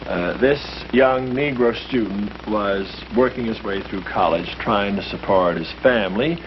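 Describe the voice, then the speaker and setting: A priest speaking earnestly to a small group, with a lively, preacherly way of stressing his points. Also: fairly low pitch, unhurried. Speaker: priest leading a discussion. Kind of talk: earnest scripted speech in a 1951 short film. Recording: muffled old film soundtrack with hiss.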